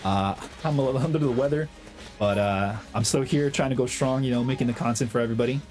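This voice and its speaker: Young man, gaming host, slight cold, a bit hoarse, chatty, slangy, mid pitch, faint game music behind. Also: quick pace, darkish tone. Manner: hyped, chatty, slangy, lots of fillers. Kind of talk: casual gameplay commentary.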